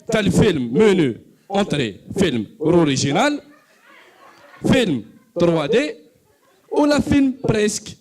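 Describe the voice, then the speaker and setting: A man performing a stand-up comedy routine, telling an animated joking story with quick asides. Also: high voice.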